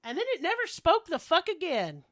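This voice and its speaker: A woman's voice: very high voice, huge pitch swings, moderate pace. Irreverent woman podcasting while under the weather. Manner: irreverent, joking, slangy. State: sick, coughing. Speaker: podcast host telling paranormal stories. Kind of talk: casual chatty storytelling with swearing.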